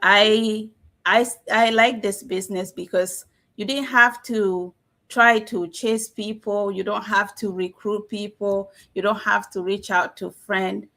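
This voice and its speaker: A middle-aged woman from South Sudan speaks as an interview guest, her voice carrying a gravelly fry. She tells her story earnestly and with animation, heard over a video call. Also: mid-range voice, fairly even intonation, a little slow, pushed and bright. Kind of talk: testimonial interview.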